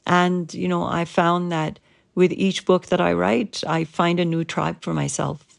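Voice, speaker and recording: A woman from Iran with an Iranian accent, Persian as her first language, speaking as an interviewed writer in a measured, thoughtful way with pauses. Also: on the low side, level tone, vocal fry. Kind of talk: reflective interview.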